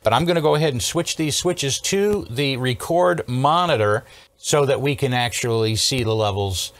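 An older man in his sixties hosts a hands-on technical walkthrough. His pitch sits in the middle range for a man. He explains step by step in a casual, methodical way, often pausing to check in. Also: slight background noise. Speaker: host, electronics restorer. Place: indoor workshop studio at a workbench.

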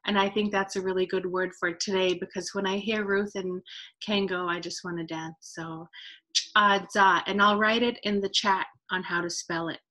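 A woman's voice: medium pitch, flat delivery, normal speed, sharp tone, rough recording. A woman in her thirties speaking unscripted as the host of an online event, recorded on a video call. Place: indoor room, video call.

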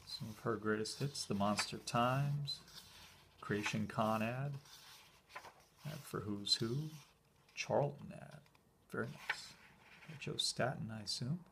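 A man casually talking through an old convention program as he leafs through it, relaxed and enthusiastic, with small fillers and pauses, recorded indoors by a camera filming his hands from above. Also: fairly low pitch, gravelly, noisy background. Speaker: collector showing a convention program. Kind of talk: casual flip-through commentary.

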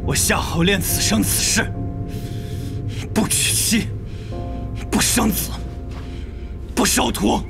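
A gravelly, grim young assassin. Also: male voice, high-pitched, slow, long pauses, heavily acted. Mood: solemn vow. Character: young male assassin, fierce and grimly resolute. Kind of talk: audio drama acting. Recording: clear background music.

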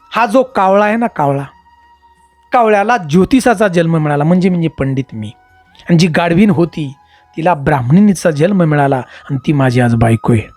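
Animated village astrologer voiced by a storyteller. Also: mid-range voice, no room sound, natural voice. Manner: explanatory, emphatic, repetitive. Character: patient learned male astrologer recounting past-life karma.

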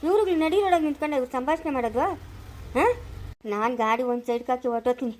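A woman's voice: high-pitched, animated, fast talker, a little mellow. A woman voicing an irritable, self-serving truck driver in a serial drama, muttering complaints. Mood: irritated, evasive.

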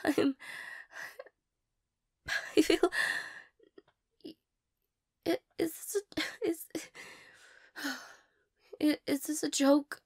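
A young woman playing a shy, insecure, tearful girl in an intimate romantic audio roleplay, hesitant, stammering and apologetic, with a breathy, creaky voice. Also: high voice, steady tone, extremely slow, long pauses, darkish tone. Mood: wary, hurt.

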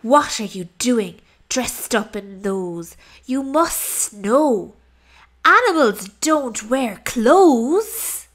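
A woman voicing a scowling male snake in a children's storybook, scolding with drawn-out, disapproving words. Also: a little high, animated, fairly bright tone, heavily acted. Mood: scornful.

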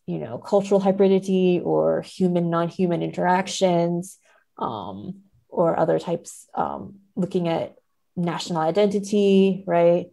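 Woman academic guest for a podcast interview, lowish mellow voice, thoughtful and careful in explanation. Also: creaky. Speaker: podcast guest, literary scholar.

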